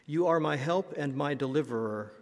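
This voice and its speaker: A man, a pastor, reading scripture and leading a prayer in a solemn, measured way. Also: fairly high pitch, animated, a little mellow. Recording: reverberant church hall.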